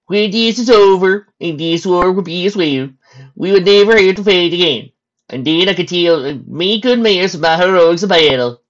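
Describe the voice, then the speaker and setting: A woman voicing a boastful, easygoing stallion soldier in a storybook reading, loud and projected. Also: lowish voice, moderate pace, pitched above the performer's own voice, natural voice. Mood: reassuring, boastful. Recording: dull lo-fi recording.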